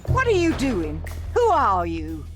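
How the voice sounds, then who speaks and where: An actress playing a stern, officious institution caretaker with a brusque, commanding manner in a video game. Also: female voice, mid-range voice, huge pitch swings, a little slow, over music. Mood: indignant, commanding. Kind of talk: cinematic video game acting.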